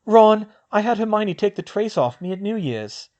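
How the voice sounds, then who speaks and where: A man's voice: high-pitched, sing-song, normal speed, darkish tone, pitched above the performer's own voice. A man doing a stubborn teen girl. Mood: firm. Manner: firm and insistent. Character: fierce, firm teenage witch, loyal and stubborn.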